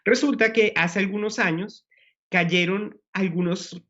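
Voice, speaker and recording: Man, scientist guest, measured, explanatory, higher voice, dull audio. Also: fairly even intonation, a bit bright. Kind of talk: explanatory live chat. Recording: muffled broadcast audio.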